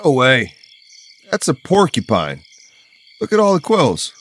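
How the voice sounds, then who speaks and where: A jumpy, skeptical sheriff's deputy played by a man in a scripted audio drama. His mid-range voice swings widely in pitch. He blurts things out and is easily alarmed. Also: unhurried, full character voice. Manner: excitable, blurting.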